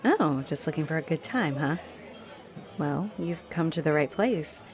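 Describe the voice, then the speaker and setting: A woman's voice: low-pitched, expressive, unhurried, a little mellow, faint music behind, some chatter behind. A woman playing a friendly, teasing, flirty female halfling orc adventurer in a roleplay audio addressed to the listener. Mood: playful, flirty. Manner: teasing, chuckling, coy. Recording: noticeable noise behind the voice.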